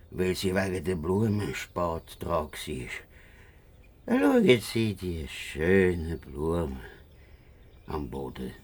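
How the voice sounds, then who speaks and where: A man's voice: lowish voice, creaky, slightly rough, full character voice. An actor playing a greedy, drunken wolf who poses as a grandmother in a children's fairy-tale radio play, groaning and slurring evasively. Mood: sleepy, drunk.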